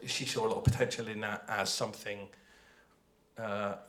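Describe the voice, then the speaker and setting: A man's voice: fairly low pitch, little pitch movement, normal speed, a bit bright. A man giving an informal panel presentation, conversational and hesitant, often correcting himself. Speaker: panelist, audio drama creator. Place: festival panel before an audience.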